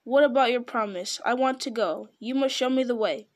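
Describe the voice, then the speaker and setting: A woman's voice: a little high, brisk, lightly acted. A woman playing a small male hobbit in a storybook, nervous underneath, speaking up in short, emphatic phrases. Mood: insistent.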